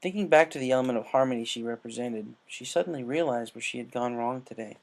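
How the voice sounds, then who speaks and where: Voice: mid-range voice, fast talker, vocal fry, a little mellow. A narrator reading fan fiction aloud as scripted storytelling. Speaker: story reader.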